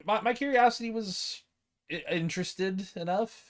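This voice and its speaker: Higher-voiced man in casual podcast chat. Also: moderate pace, a crowd in the background. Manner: sweary, informal. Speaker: podcast co-host. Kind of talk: casual group chat about films and games.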